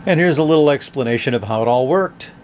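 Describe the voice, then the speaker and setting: A man hosting a casual, unscripted product review, talking in a hesitant, halting, conversational way. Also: mid-range voice, lively intonation, darkish tone, slight background noise. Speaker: host of a vintage radio review channel.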